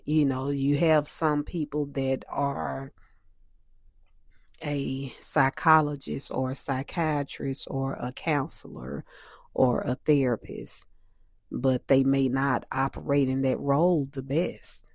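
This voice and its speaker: A woman hosting a solo talk, a little stuffy and hoarse from a cold, speaking in a measured way that repeats and restates her points. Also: very deep voice, level tone, no echo, studio quality. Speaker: host.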